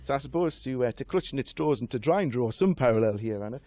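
Fast-talking man with genteel, old-fashioned phrasing. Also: medium pitch, lively intonation, darkish tone. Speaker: interview guest. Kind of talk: jovial anecdotal radio chat.

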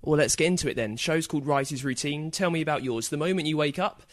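A man's voice: on the high side, fast, dry recording. A man hosting a podcast, introducing and interviewing in a scripted presenter's style.

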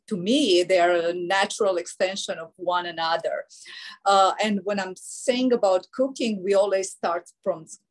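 A middle-aged woman from Romania with a Romanian accent, presenting on an online panel over a video call, with frequent hesitations. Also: medium pitch. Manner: frequent hesitations with many uh fillers.

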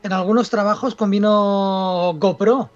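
Hombre español de voz muy aguda. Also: male voice, sits high, steady tone, unhurried, lo-fi sound. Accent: Spain. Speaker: filmmaker on a livestream panel. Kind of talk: casual discussion. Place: indoors at home, video call.

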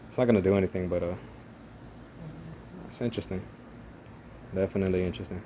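A man's voice: low voice, steady tone, fast, warm tone, a little hiss or hum. A young man rambling through casual, profane play-by-play of a mobile strategy game as a gaming channel host. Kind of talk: casual gameplay commentary.

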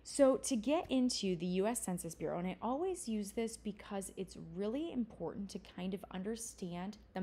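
Woman instructor, mellow tone, casual explanatory lecture style with self-corrections. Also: fairly low pitch. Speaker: college instructor. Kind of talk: recorded lecture.

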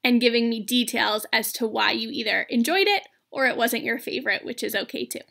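Female educator, 30s, high bright voice, upbeat and unhurried, addressing young kids for a read-aloud. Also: creaky. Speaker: elementary school educator reading aloud. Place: small plain room indoors. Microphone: laptop webcam at arm's length.

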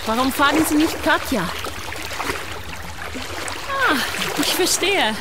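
A composed, dryly sharp woman in a crime radio play. Her voice is fairly high and matter-of-fact, with clear watery pool noise behind it. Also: lively intonation, slow pace. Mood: advising. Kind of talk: German crime audio drama acting. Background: water at a swimming pool.

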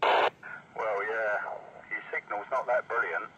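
A man's voice: on the low side, fairly even intonation, slow pace, muffled recording. A man with an English accent chatting casually over a crackly two-way radio. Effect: two-way radio speaker. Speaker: CB radio operator. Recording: crackly, very noisy CB radio reception.

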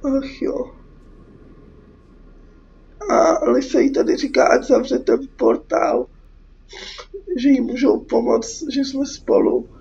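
Czech woman, high creaky voice, cold, casual sweary gaming commentary, dull recording, background noise. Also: steady tone, pauses often, mellow. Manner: sweary, exasperated reactions. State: sick with a cold. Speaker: gaming YouTuber. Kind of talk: casual game playthrough commentary, reading out dialogue. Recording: muffled mic with background noise.

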